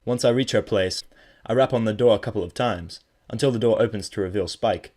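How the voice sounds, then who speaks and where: Low-voiced young man, first-person storyteller, dry and sarcastic delivery. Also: fairly quick, darkish tone. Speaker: narrator. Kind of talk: first-person audiobook narration.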